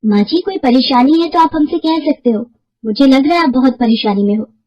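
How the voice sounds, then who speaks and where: A woman acts out a kind young henna artist from a cartoon village. The voice is high and a little husky, earnest and full of reassurance. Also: brisk, lo-fi sound. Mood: concerned.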